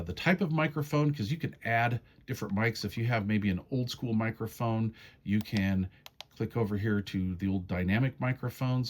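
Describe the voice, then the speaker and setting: A man with a fairly low voice walks through a product's settings step by step. His delivery is casual and folksy, like a hands-on review. There is some faint noise and clicking behind him. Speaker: radio reviewer demonstrating menu settings.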